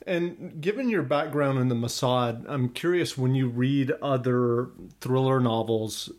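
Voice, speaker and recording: A man hosting a podcast, asking a guest questions in a smooth, polished way. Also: mid-range voice, measured pace, darkish tone. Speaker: podcast host. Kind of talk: podcast interview.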